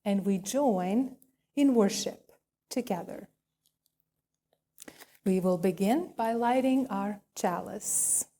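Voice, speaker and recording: A woman minister speaking in a measured, deliberate way as she leads a worship service and reads liturgy. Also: mid-range voice, mellow. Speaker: minister leading a church service. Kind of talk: sermon and liturgical reading.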